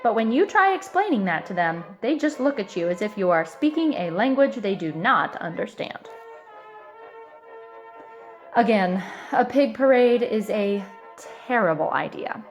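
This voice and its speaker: A woman reading a children's picture book aloud in an expressive, emphatic way, stretching out key words. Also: medium pitch, a little slow, highs cut off, faint music behind. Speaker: storybook reader.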